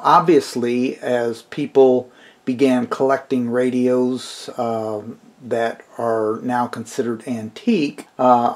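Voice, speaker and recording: A man's voice: medium pitch, a little mellow. A middle-aged man giving an informal, unscripted explanatory review as a hobbyist, in a plain-spoken, conversational way.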